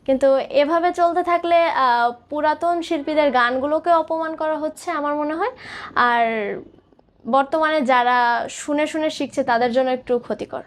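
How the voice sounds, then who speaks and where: A woman's voice: high-pitched, moderate pace. A woman, a singer giving thoughtful, somewhat hesitant answers as an interview guest.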